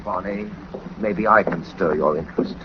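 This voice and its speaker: An actor playing a suave, confident gentleman detective in a 1940s radio drama, speaking with dry, formal wit. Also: low voice, moderate pace, natural voice. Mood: dry triumph. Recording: muffled, noisy 1940s radio recording.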